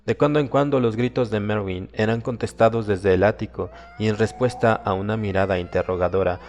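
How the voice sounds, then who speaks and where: A man narrating a horror audiobook in a dramatic, solemn and suspenseful manner, with deliberate phrasing. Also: lowish voice, steady tone, a little mellow. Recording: long reverb, music behind. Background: music.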